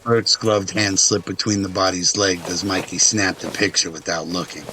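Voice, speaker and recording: A man narrates a scripted drama in a deep voice with a bright, forceful edge. His delivery is dramatic storytelling, recorded with a faint layer of noise. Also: fairly even intonation, normal speed.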